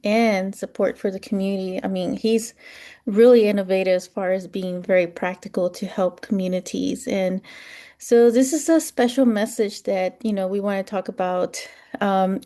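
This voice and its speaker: A woman hosts a podcast interview in a mellow, darker voice at a middle pitch. She welcomes her guest and asks gentle questions, with a few ums along the way. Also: moderate pace. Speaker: podcast host. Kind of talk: interview hosting.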